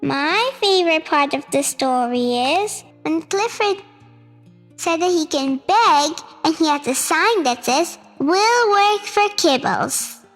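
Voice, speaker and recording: Very high, childlike storybook reader in reverb. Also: lively intonation, takes it slowly, a bit bright. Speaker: storytime host reading aloud. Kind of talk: read-aloud children's storybook narration. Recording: reverberant hall sound with music. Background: music.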